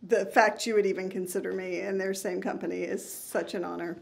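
A middle-aged woman from New England talks in an easy, earnest way. Her voice has a steady gravelly fry running through it. She sounds like a therapist chatting openly as a podcast guest. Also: mid-range voice, a little fast. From New England, United States. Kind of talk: casual interview conversation.

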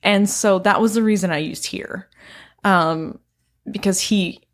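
A woman with an Appalachian accent and a low voice, co-hosting a casual podcast chat and often chiming in with short agreements. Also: a little slow. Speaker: podcast co-host.

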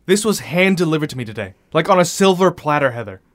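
A brash male reporter played by an actor pushes his case in an audio drama. His voice is fairly high for a man and very animated, with an insistent, familiar manner. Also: fast, natural voice. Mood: probing, urgent.

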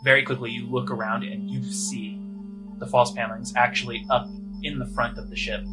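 Guy game master describing the scene off the cuff, normal pitch, music under him. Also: fairly quick, rough recording. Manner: descriptive, improvised scene-setting. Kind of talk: narrating a tabletop role-playing game.

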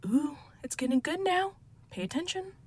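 A high-voiced, gleefully sadistic killer. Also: female voice, very animated, normal speed, a little hiss or hum. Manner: mocking, theatrical, drawling. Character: gleefully sadistic, mysterious female killer, playful and taunting. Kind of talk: audio drama acting.

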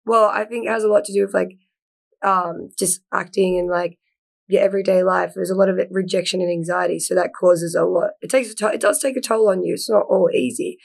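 A woman's voice: lowish voice, steady tone, fairly quick, vocal fry. A young woman from Australia, an actor talking as a guest in a casual interview, chatty and full of "like". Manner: chatty, lots of "like", laughs easily.

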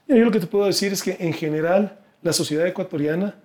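High, mellow-voiced Ecuadorian male politician. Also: fast talker. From Guayaquil, Ecuador. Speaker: political candidate being interviewed. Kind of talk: persuasive political interview talk.

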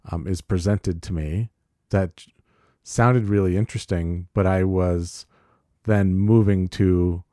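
A man co-hosting a podcast, speaking in a measured and thoughtful way with some halting restarts. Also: deep voice, warm tone, dry recording, clean studio sound. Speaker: podcast co-host. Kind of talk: reflective conversation.

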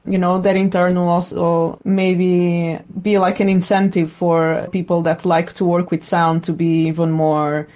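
A woman with a slightly low voice talks casually as an interview guest. She is reflective and tentative, often pausing to find the right word. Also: level tone, darkish tone. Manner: tentative, reflective, searching for words. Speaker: interview guest, sound designer.

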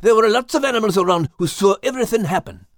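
A man voicing a hot-headed, impatient Scottish animal in an audiobook story, blurting and excitable but loving. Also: sits high, quick pace, no room sound, clean studio sound, pitched above the performer's own voice, natural voice. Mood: agitated, earnest. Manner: impulsive, blurting.

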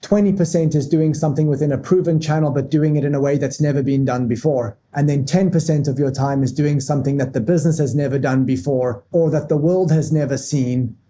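A man from Africa, talking through work habits as a podcast guest in a measured, methodical way. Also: fairly high pitch, fairly even intonation, mellow, slight background noise. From South Africa. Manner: measured and methodical, lists points step by step. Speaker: marketing leader, podcast guest. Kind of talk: structured business talk.

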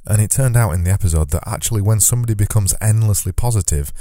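A man hosting a solo podcast, talking earnestly and reflectively, lifting a voice he calls naturally monotone. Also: on the low side, quick pace, no echo, studio quality. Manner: earnest, reflective, says he lifts his normally monotone voice. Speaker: podcast host.

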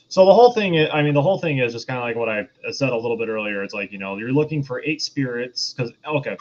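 A man's voice: mid-range voice, highs cut off. A man co-hosting a podcast, explaining things in a rambling, casual film discussion. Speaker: podcast co-host.

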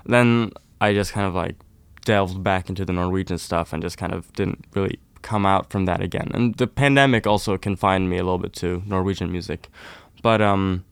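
Young guy from norway, lowish smooth voice, easygoing interview chat, dry close mic. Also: normal speed, hardly any pauses, no echo. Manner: relaxed, friendly, uses fillers like "kind of, like". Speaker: folk musician guest.

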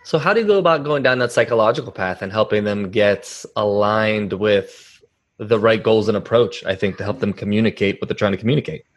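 A man's voice: lowish voice, a little fast, highs cut off. A man speaking as a podcast host, interviewing a guest in a conversational style.

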